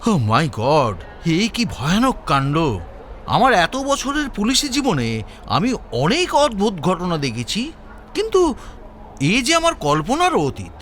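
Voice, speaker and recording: A senior police chief for an audio drama. His delivery is theatrical and commanding, pitched high with huge swings in intonation. Some ambient noise sits behind him. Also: huge pitch swings, measured pace, fairly bright tone, heavily acted. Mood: shocked. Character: senior police chief, flustered, authoritative, long-serving.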